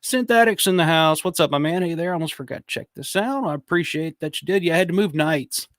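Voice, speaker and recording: A man hosting a casual livestream, hoarse from a cold, rambling and swearing as he reads viewer comments. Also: fairly high pitch, fast talker, fairly bright tone, no room sound, studio quality. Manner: rambling, profane, frequent restarts. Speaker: livestream host.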